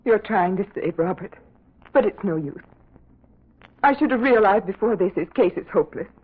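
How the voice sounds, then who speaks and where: Husky, distressed young lady, radio drama. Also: mid-range voice, expressive, moderate pace. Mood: grave, pleading. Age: young adult. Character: distressed, earnest young daughter of a murdered man. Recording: dull lo-fi radio sound.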